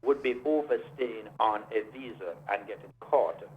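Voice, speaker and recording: A man's voice: medium pitch, lively intonation, normal speed, slightly rough. A man from Jamaica, a lawyer, explaining the law step by step as a guest on a radio show. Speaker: immigration and criminal defense lawyer. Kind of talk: radio interview over a phone line. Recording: dull lo-fi phone line with faint hiss.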